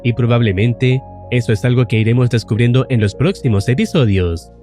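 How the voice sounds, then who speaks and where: A man's voice: medium pitch, fairly quick, warm tone. A man narrating a scripted, suspenseful recap of a horror series as an explainer video host. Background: faint music.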